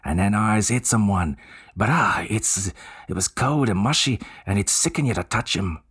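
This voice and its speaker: A man voicing a young male Cockney bellboy who speaks bluntly with rough, dropped grammar. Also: lowish voice, expressive, normal speed, fairly bright tone, full character voice. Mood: indignant.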